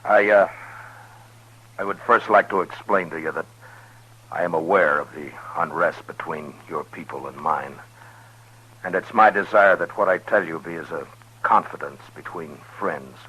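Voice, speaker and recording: A stern old-radio western lawman, low forceful voice, halting and grave. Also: husky, full character voice, rough recording. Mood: grave, earnest. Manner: deliberate, restrained, halting pauses. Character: stern, weary frontier lawman, measured and grave. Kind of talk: 1950s radio western acting with first-person narration. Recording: 1950s radio broadcast with music.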